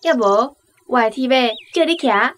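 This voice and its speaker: A woman's voice: sits high, lively intonation, moderate pace, fairly bright tone, a little hiss or hum. A woman playing a bold, spoiled and cheeky young lady in a radio drama, with a Taiwanese accent.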